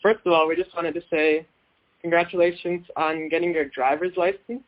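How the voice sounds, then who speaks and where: A man with a somewhat high voice hosts an interview, asking friendly, prepared questions. The audio is a little muffled and lo-fi. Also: fairly even intonation, normal speed. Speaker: interviewer host. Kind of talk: podcast interview.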